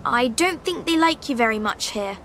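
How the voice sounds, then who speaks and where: High, sharp-tongued young girl played by a woman. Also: expressive, moderate pace, loud music behind the voice, natural voice. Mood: teasing, chiding. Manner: sardonic, chiding. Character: sharp-tongued imagined young daughter, knowing and reproachful. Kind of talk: video game character acting.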